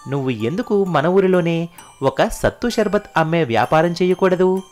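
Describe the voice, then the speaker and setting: A man playing a shrewd but generous village moneylender who talks in an advising way in a cartoon story. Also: fairly high pitch.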